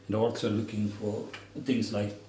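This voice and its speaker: A man speaking as a doctor, giving an unscripted clinical teaching demonstration in a hesitant, explanatory way with frequent pauses. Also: fairly low pitch, monotone, warm tone. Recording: roomy echo, faint background noise.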